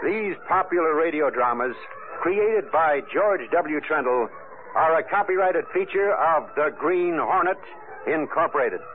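A man's voice: a little high, lively intonation, a little slow. A man reading a formal scripted announcement as an old-time radio announcer. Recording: scratchy, tinny old radio broadcast.

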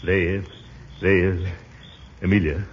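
A man's voice: sits low, level tone, takes it slowly, lo-fi sound. An actor playing a stout, middle-aged businessman, a pompous, flustered and sentimental suitor who blusters and sputters, in 1940s radio comedy style. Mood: sentimental, courting. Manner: blustering, sputtering, pompous, florid when courting. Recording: noisy, band-limited vintage radio recording.

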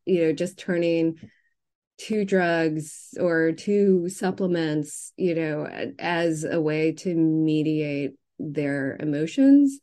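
A woman speaking as an interviewed novelist, reflecting casually and circling haltingly around her thoughts. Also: low voice, warm tone.

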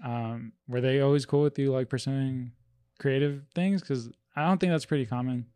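A man's voice: medium pitch, normal speed, mellow, clear, resonant tone, no echo. A man hosting a podcast, chatting casually and asking curious questions. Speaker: podcast host. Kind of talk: casual chat. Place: podcast studio.

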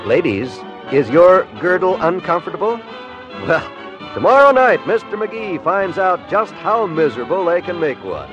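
A man's voice: sits high, sing-song, a little slow, music in the background. A man announcing on 1950s radio, reading scripted promotion in a polished, salesmanlike way. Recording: dull vintage radio broadcast recording.

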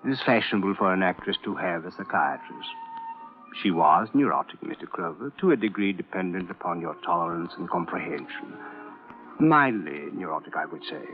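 An actor playing a suave, condescending older psychiatrist in an old-time radio drama, speaking with haughty, languid precision. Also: male voice, sits low, animated, normal speed, over music. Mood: smug, evasive. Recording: thin, tinny vintage radio recording.

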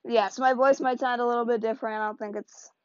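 A woman's voice: a little high, steady tone, fast, darkish tone, dry recording. Woman podcaster, tired strained voice, rambling casual talk, muffled lo-fi sound, dry. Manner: rambling, loose and chatty. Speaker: podcast host.

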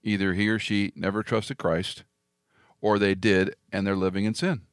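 A man's voice: lowish voice, flat delivery, normal speed, clean tone, no echo, clean studio sound. A man hosting a theology talk show, speaking emphatically and building his points with rhetorical repetition. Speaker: radio talk show host. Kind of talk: conversational theology discussion.

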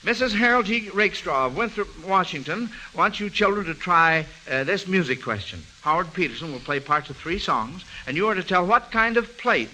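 Bright, high-voiced American radio quizmaster, male. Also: lively intonation, moderate pace, hardly any pauses. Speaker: quiz show host. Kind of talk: 1940s American radio quiz show hosting. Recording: noisy, hissy old radio broadcast recording.

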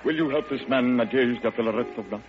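An actor playing a discreet musician appealing for a friend in an old radio drama. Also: male voice, mid-range voice, fast talker, natural voice. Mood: measured, coaxing. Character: male musician, discreet intermediary asking help for a friend. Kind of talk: 1950s radio drama acting. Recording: dull old radio recording.

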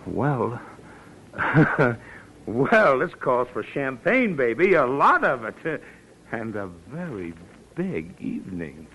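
Animated, slangy radio-drama schemer. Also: male voice, mid-range voice, takes it slowly, rough recording. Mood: feigned reluctance. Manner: casual, slangy, feigned reluctance. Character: smooth-talking scheming man sweet-talking his girl. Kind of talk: 1940s radio drama acting. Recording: old radio recording with faint noise.

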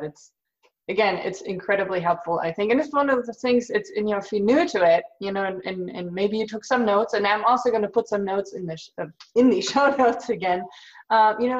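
A woman's voice: medium pitch, fairly quick. A woman podcast host whose first language is German, speaking in a thoughtful, slightly hesitant casual chat. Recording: dull lo-fi audio.

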